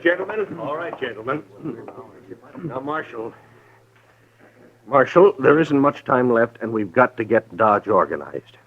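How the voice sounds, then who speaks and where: An actor playing a fussy, flustered leader of a frontier town committee, formal in manner, in radio western style. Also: male voice, mid-range voice, expressive, unhurried, long pauses, lightly acted. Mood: officious. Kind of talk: old-time radio western acting. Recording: dull vintage radio recording.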